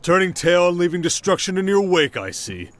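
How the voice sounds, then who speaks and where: A man's voice: high-pitched, sing-song, brisk. A man playing a proud, protective warrior king in a fantasy roleplay, with a commanding, sardonic manner. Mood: scornful anger. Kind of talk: dramatic fantasy ASMR roleplay.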